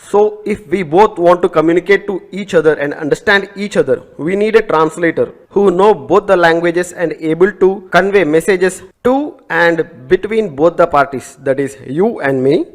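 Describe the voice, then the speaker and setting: A man's voice: sits high, moderate pace, hardly any pauses. A man whose first language is Telugu, teaching a recorded networking class in a methodical way, often rephrasing terms and correcting small slips as he goes. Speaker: instructor.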